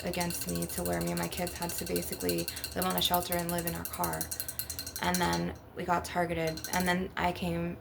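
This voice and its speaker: A woman with a rather low voice that often crackles into fry. She rambles casually, blunt and aggrieved, swearing freely. Clear background noise and clinking glass taps run behind her. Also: monotone, moderate pace. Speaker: ASMR video creator. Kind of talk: casual rambling monologue over ASMR tapping. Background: glass and crystal tapping.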